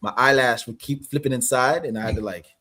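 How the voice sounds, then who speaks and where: A man with a Canadian accent talks in a loose, rambling way, laughing between thoughts as a guest on a livestream. His voice is slightly husky and sits at an ordinary pitch. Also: brisk. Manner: relaxed, rambling, laughs often. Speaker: guest actor. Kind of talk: casual livestream chat.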